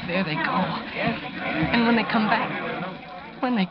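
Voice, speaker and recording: An actor playing a frightened young newspaper staffer, muttering in anguish in 1940s radio drama style. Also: male voice, sits high, slow. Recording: dull vintage radio recording, loud background noise.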